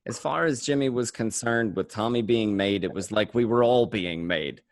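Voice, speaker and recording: A man acting as an excitable mobster who tells his crime story conversationally, tripping over and repeating words. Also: lowish voice, normal speed, a little hiss or hum, close to an everyday voice. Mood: boastful. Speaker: amateur actor in an online radio play. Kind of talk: first-person dramatic monologue, conversational.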